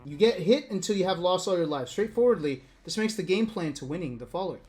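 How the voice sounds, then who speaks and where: Mid-pitched streamer, casual read-aloud, wry asides to viewers, music in the background. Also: expressive, quick pace, darkish tone. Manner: wry, addresses viewers directly, breaks off to comment. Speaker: livestreamer reading a book aloud with commentary. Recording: music playing behind the voice.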